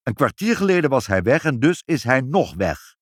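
A man puts on a pompous storybook baron. He is sorrowful and stubborn, with a stately, dismissive delivery and expressive swings of pitch, recorded dry. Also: moderate pace, dry recording.

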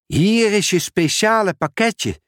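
An excitable sorting office manager, as a male storyteller puts him on, talking high and full of exclamations. His voice jumps around, and the recording is dry and close. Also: slow, dry recording, full character voice. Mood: excited.

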